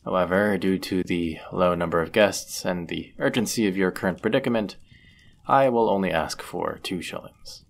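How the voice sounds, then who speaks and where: A man acting a deep-voiced, courteous medieval innkeeper. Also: creaky, slight background noise. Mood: gentle, courteous. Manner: gentle, polite, measured, formal wording. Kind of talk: intimate roleplay audio acting, formal old-fashioned speech.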